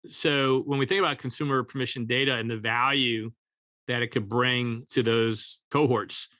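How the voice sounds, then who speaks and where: A man's voice: mid-range voice, fairly even intonation, moderate pace, bright, forceful tone, dry recording. A man hosting a business podcast, asking conversational interview questions.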